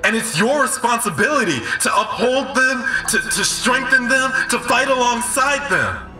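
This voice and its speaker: Actor as bright, high-voiced impassioned orator John Mark. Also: male voice, expressive, normal speed, full character voice. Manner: earnest, emphatic oratory. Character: John Mark, impassioned, principled male street orator calling for justice. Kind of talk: audio drama speech to a crowd. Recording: echoey, distant, with music behind.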